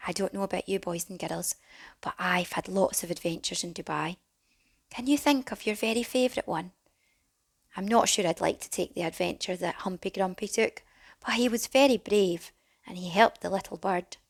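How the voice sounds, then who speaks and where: A woman reading a rhyming children's storybook as a teacher would, dramatic and animated, with some hushed phrases. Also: on the low side, moderate pace, gravelly. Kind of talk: expressive children's storybook narration in rhyme.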